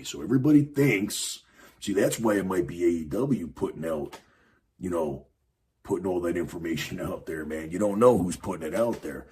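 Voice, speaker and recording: A man hosting a podcast, talking casually about wrestling in a rambling way, with a raspy voice and lots of fillers. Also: low voice, a little mellow, a little hiss or hum. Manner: rambling, many fillers and restarts. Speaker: podcast host. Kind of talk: casual wrestling commentary monologue.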